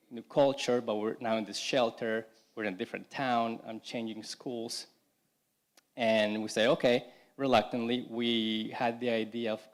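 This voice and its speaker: A young man from Colombia giving a personal testimony at a church service, speaking in a halting way with frequent restarts and "you know". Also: mid-range voice. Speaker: congregation member giving testimony.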